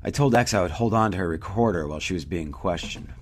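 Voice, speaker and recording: A man's voice: low voice, fast. An actor playing a hesitant, helpful man in an audio drama, plain-spoken and tentative. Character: hesitant, helpful man testing a borrowed recorder.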